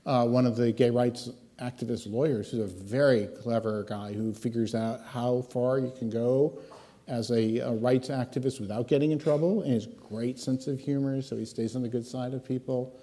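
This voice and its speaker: A man's voice: medium pitch, steady tone, normal speed, warm tone. Older man telling an anecdote on a panel. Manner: storytelling, chuckling. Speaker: panel expert. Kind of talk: panel discussion answer with anecdote.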